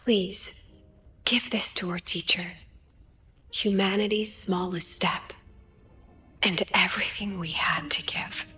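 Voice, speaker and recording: Casting: gentle, brooding female scientist in a game, tired and breathy, slightly muffled sound. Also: mid-range voice, steady tone, slow, long pauses, whispered, heavily acted. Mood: solemn. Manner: subdued, pensive. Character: weary, gentle, brooding woman scientist and professor. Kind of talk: video game voice acting. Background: game music.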